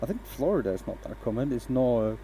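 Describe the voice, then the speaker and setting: A man's voice: mid-range voice, big pitch swings, fast, mellow, slight background noise. A man from Denmark, by birth, hosting a woodturning livestream and chatting loosely with viewers as he works, with frequent fillers and restarts. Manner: chatty, hesitant, talks to viewers while working. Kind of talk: casual livestream chat while working. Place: home workshop with a lathe.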